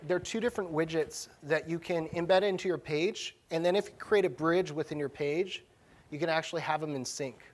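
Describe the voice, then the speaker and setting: A man presenting a live tech demo at a conference session, speaking in an informal, slangy, casual way. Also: a little high. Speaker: conference presenter, technology director.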